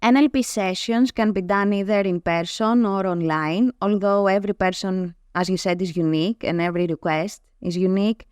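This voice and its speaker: A woman with a Greek accent, a native Greek speaker, giving measured, explanatory answers as an interview guest. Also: medium pitch, darkish tone, smooth, no echo, clean studio sound. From Greece. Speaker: guest, NLP trainer. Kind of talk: reading prepared answers in an interview.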